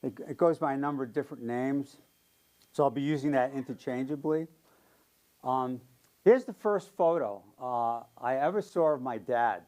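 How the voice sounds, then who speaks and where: A man's voice: mid-range voice, moderate pace, a little mellow. A man in his seventies with a New York accent, giving a friendly, chatty talk as a guest speaker. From New York area. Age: 70s. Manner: friendly, chatty, frequent ums and light laughs. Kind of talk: casual public talk.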